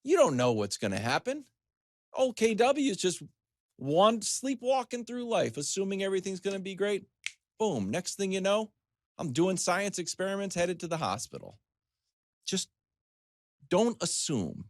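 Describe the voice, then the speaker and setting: A man's voice: high voice, big pitch swings, normal speed, long pauses, no room sound, studio quality. A man hosting a sports talk show, delivering a comedic, conversational monologue with emphatic lists, joking asides and acted-out questions.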